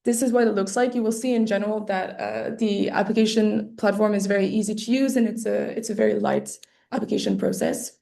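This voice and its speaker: Hoarse woman presenting a webinar. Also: mid-range voice, steady tone, fairly quick, dark tone. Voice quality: slightly hoarse. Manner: hesitant, many fillers and restarts. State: losing her voice, clearing her throat often. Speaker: webinar presenter for an arts award. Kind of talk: explaining an application process. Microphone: computer mic on a video call.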